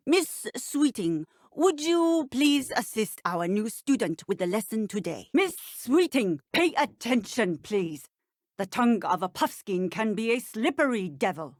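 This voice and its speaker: Strict lady magic teacher for a video game, precise and proper, takes her time, lively intonation. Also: medium pitch, no echo, studio quality. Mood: stern, admonishing. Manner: formal, precise, schoolteacherly. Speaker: voice actor. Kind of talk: scripted video game dialogue.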